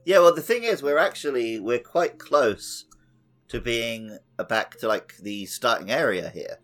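A man's voice: mid-range voice, animated, a little slow, fairly bright tone. A man chatting casually as a podcast host.

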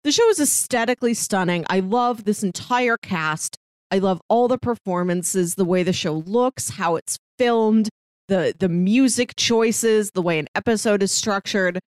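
A woman's voice: mid-range voice, measured pace, no room sound. A woman with a mid-range voice speaks as a podcast co-host in a casual, conversational review style. The sound is dry, with very little room around her voice. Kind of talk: casual chat reviewing a TV series.

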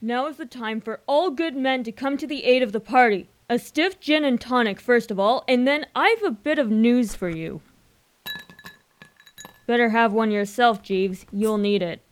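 A woman playing an excitable, bumbling young upper-class gentleman in a radio drama, full of flustered old-fashioned slang. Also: on the high side, normal speed, lightly acted. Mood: agitated.

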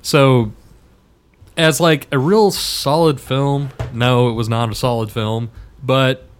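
A man's voice: mid-range voice, fairly even intonation, slow, smooth. A man on a podcast panel giving his opinions in casual film discussion. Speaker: podcast panelist reviewing films.

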